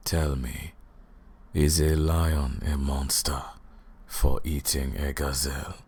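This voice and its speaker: Casting: arrogant vampire lord for ASMR roleplay, put-on foreign accent, extremely low and husky, cruel, theatrical taunting. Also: male voice, flat delivery, darkish tone, heavily acted. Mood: mocking, indignant. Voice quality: gravelly, husky, breathy deep voice. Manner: slow, menacing, mocking, theatrical.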